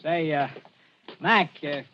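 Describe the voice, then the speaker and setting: An actor playing a desperate, touchy small-time American gangster in a 1940s radio drama, slangy and edgy. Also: male voice, fairly high pitch, takes it slowly. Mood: hesitant, ingratiating. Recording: dull, noisy old radio recording.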